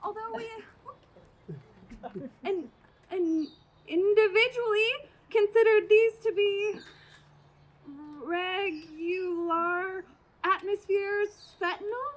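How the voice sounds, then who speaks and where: A woman playing a bumbling, touchy female army general who reads haltingly and stiffly in a comic stage radio play. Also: very high-pitched, steady tone. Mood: stilted, official. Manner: halting, misreads words, stilted. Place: outdoors, community theater play in a parking lot. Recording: outdoor amateur stage performance.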